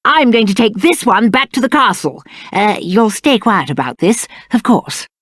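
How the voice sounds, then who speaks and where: High-voiced, pompous spoiled British prince. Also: male voice, very animated, moderate pace, fairly bright tone, no echo, clean studio sound, full character voice. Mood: smug. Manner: pompous, petulant, theatrical. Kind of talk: video game character acting.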